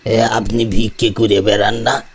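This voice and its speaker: A man playing a scrawny, nosy village lad in an audio drama, husky and blunt. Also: lowish voice, little pitch movement, a little slow. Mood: brash.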